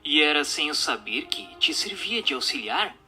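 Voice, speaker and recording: A formal, inquisitive séance investigator, acted by a man in a radio drama. His delivery is very bright and forceful, with lively swings in pitch, and music plays softly behind his measured, scripted words. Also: heavily acted. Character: formal, inquisitive séance questioner and narrator, male. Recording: music under the voice.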